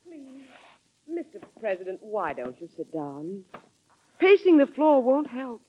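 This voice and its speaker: A woman acting in an old-time radio drama as a gently concerned attendant to a president, soft and solicitous. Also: a little high, big pitch swings, a little slow, a little hiss or hum. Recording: thin, phone-like old radio recording.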